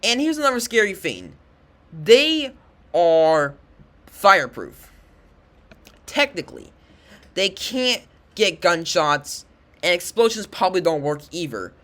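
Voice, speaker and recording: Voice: mid-range voice, very animated, slow pace, long pauses, bright, forceful tone. A host giving casual video commentary in a rambling way, often repeating and self-correcting.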